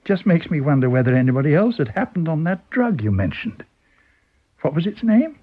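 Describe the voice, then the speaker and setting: A man's voice: on the high side, big pitch swings, a little fast, narrow, tinny audio, pitched above the performer's own voice. A man voicing a reserved, politely wary bachelor with a hesitant, stammering manner in a dramatised story reading. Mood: probing, nervous.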